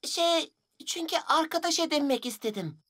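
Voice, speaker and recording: A woman's voice: sits high, animated, moderate pace, fairly bright tone. A woman voicing a mischievous, proud but lonely childlike magical girl in a children's cartoon, exclaiming and pouting expressively. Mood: pouty. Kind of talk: animated children's cartoon dubbing.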